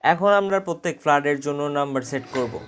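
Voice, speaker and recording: A man's voice: a little high, fast, a bit bright, soft background music. A man narrating a scripted how-to tutorial, explaining step by step. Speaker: tutorial host.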